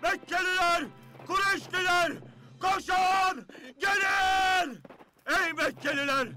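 A man voicing a panicked desert messenger in an animated cartoon, crying out urgently. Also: extremely high pitch, exaggerated voice, poor audio. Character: panicked male desert messenger raising the alarm. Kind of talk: animated cartoon dub acting.

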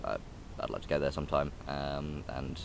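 Young guy, super deep voice, rambly and full of ums, outside with a faint rumble behind. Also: monotone, moderate pace. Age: young adult. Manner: hesitant, many ums and restarts. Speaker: vlogger. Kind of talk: casual rambling vlog. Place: outdoors in a park by a railway line. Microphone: phone or camera held close.

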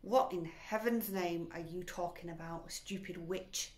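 A woman reading a storybook aloud, putting on the voice of a furious, pompous middle-aged father who yells and shrieks. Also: unhurried, echoey room, close to an everyday voice. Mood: indignant. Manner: yelling, shrill.

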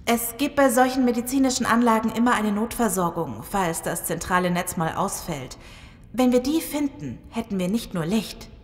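An actress playing an earnest, serious virologist surviving a zombie apocalypse in an audio drama. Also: female voice, on the high side, expressive, normal speed, natural voice. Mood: alert. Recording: long cavernous reverb.

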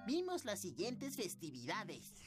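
A woman's voice: medium pitch, huge pitch swings, normal speed. A woman giving scripted educational narration in an instructive manner. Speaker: lesson narrator. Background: marimba-like music.

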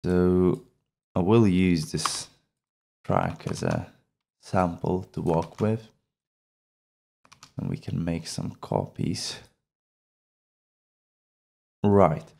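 A man narrates an instructional screen-recording tutorial in a very low, dark voice. His delivery is slow and measured, explaining each step plainly into a close microphone. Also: animated, creaky. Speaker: tutorial presenter.

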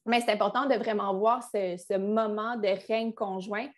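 A woman's voice: medium pitch, steady tone, normal speed. A young woman with a Quebec French accent giving a business webinar on a video call, in a chatty style with frequent restarts. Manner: conversational, with frequent restarts and fillers. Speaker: business succession advisor. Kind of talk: webinar presentation.

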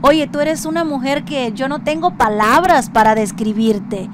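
Woman, radio host, high voice, effusive, repetitive phrasing, clearly noisy online recording. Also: normal speed. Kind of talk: conversational interview.

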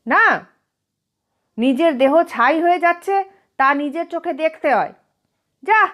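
A woman's voice: high voice, slow pace, fairly bright tone, voice pushed up. A woman voicing a stern, fearless but kindly widowed elder aunt with a scolding, commanding manner in a story reading. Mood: firm. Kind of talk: storybook character voice.

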